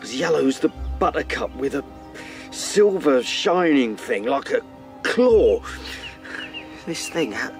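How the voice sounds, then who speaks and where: An actor playing a traumatised male rabbit, a former captain, speaking in a halting, haunted and distressed way in a radio drama. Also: medium pitch, big pitch swings. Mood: horrified, anguished. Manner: halting, haunted, breaking off mid-sentence. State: shaken, distressed. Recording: music under the voice.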